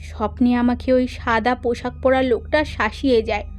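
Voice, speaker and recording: A woman's voice: on the high side, fairly even intonation, normal speed, faint music behind, lightly acted. A woman playing an anxious middle-aged village mother of a grown son, speaking earnestly and dramatically in a horror cartoon. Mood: fearful, confiding.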